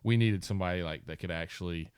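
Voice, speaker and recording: A man's voice: sits low, quick pace, a little mellow, smooth, no room sound. A man telling casual stories as a podcast guest, in an easygoing, laughing manner. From North Carolina, United States. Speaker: guest, country singer in a duo.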